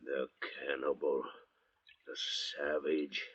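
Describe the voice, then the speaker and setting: An actor playing a bitter, suspicious, volatile thief cast away at sea, needling and sneering in 1940s radio style. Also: male voice, a bass voice, flat delivery, slow pace, fairly bright tone, slightly rough, full character voice. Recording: dull old restored radio recording.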